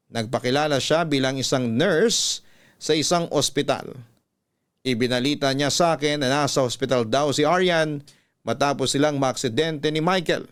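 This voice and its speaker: A man reading a scripted emotional story in a measured, emotive way, like a radio storyteller. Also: a little high. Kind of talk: dramatic scripted story narration.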